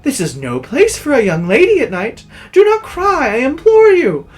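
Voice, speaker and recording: A man's voice: extremely high pitch, huge pitch swings, moderate pace, voice pushed up, exaggerated voice. A man voicing a kindly old merchant, earnest and easily frightened, in a dramatic storybook reading. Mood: earnest pleading.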